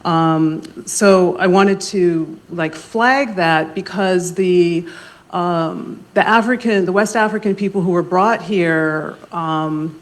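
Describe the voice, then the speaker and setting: A soft-spoken woman moderating a library panel discussion, speaking in a measured way with frequent pauses and ums. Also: lowish voice. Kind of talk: panel discussion opening talk. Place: library event room. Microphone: handheld mic.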